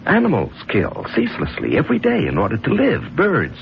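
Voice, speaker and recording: An actor playing a respected judge gone murderously mad, intense and halting, in 1970s radio drama style. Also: male voice, fairly high pitch, wildly swooping pitch, gravelly, dull audio, full character voice. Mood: fanatical. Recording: hissy, band-limited 1970s radio recording.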